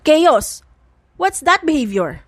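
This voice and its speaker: A cold, commanding father from an audiobook drama, played by a woman. He is protective and stern, in a high, dry-sounding voice. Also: big pitch swings, takes it slowly, dry recording, exaggerated voice. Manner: cold, commanding, mixes in English.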